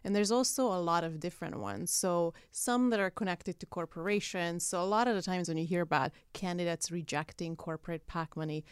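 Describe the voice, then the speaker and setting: A woman with a Hungarian accent and a smooth, slightly low voice, recorded dry in a studio. She speaks as an interview guest, explaining things in a conversational way. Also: fairly quick, few pauses, no echo. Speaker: guest, political activist who runs a PAC. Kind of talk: casual explanatory interview talk.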